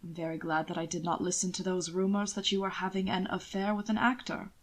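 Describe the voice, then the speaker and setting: A woman voicing a sardonic, coolly polite aristocratic gentleman in an audiobook, with a droll, formal, understated delivery. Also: lowish voice, level tone, fast, lightly acted. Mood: cool disdain. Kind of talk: audiobook character dialogue.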